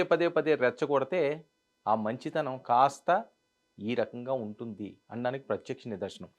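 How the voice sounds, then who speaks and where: A man delivering news commentary in an emphatic way, repeating phrases for stress. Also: on the high side. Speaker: news presenter.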